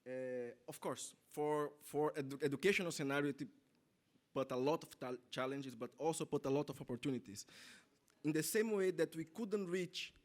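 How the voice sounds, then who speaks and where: Brazilian man presenting, halting restarts. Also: fairly high pitch. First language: Portuguese. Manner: halting, many restarts and self-corrections. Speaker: conference presenter. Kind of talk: conference presentation.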